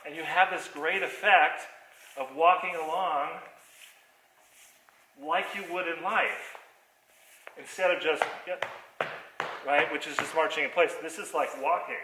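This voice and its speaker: A man's voice: fairly high pitch, fairly even intonation, a little slow, pauses often, pushed and bright, a little hiss or hum. A man giving a hands-on theatre lesson, explaining movements step by step. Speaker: theatre teacher. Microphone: distant computer microphone on a video call. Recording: echoey theater, voice far from microphone.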